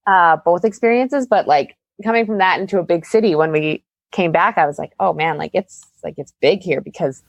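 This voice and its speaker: Low-voiced Canadian woman, dry close sound. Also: fairly quick, few pauses, no room sound, lo-fi sound. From Calgary, Canada. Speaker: guest, magician's assistant and performer. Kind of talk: casual interview chat, storytelling.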